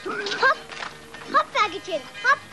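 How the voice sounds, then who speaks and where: A performer playing a lively, affectionate young farm boy, coaxing and eager in a dubbed children's series. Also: very high voice, takes it slowly, music in the background, full character voice, rough recording. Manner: coaxing, eager, simple short commands.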